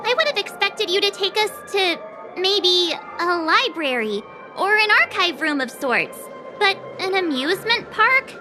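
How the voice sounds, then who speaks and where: Game role: small cute sidekick, squeaky-high, highly animated, questioning, music behind. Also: female voice, measured pace. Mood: doubtful, surprised. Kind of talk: scripted video game dialogue.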